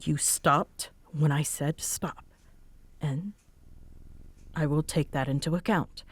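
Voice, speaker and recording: Prickly, low-voiced woman roommate, acted by a woman. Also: fairly even intonation, husky, exaggerated voice. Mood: stern, guarded. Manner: curt, halting, defensive. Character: prickly young woman roommate, guarded, bossy, secretly soft. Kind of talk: intimate roleplay audio drama.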